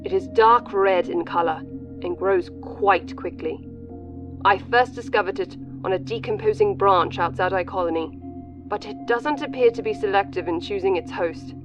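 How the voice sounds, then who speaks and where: A woman's voice: mid-range voice, normal speed, natural voice. A woman acting a precise, slightly muffled colony scientist. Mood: methodical, concerned. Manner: precise, matter-of-fact. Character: methodical, observant female colony scientist recording a log. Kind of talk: sci-fi audio drama scripted log. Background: faint music.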